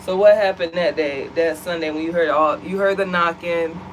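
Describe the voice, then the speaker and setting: A woman's voice: fairly low pitch, normal speed, a little hiss or hum, rough recording. Chatty American woman vlogging from home. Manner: chatty, animated, addresses viewers as y'all. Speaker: vlog co-host. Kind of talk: casual chat to viewers. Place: at home, seated.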